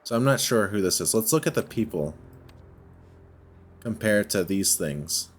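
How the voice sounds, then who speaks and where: A man's voice: on the low side, lively intonation, unhurried. A man giving casual let's play commentary, thinking aloud and often questioning himself as he goes. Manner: musing, self-questioning. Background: background music.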